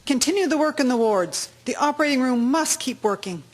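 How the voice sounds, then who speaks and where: A woman's voice: sits high, lively intonation, brisk. A woman acting a composed, commanding wartime hospital matron in a radio drama.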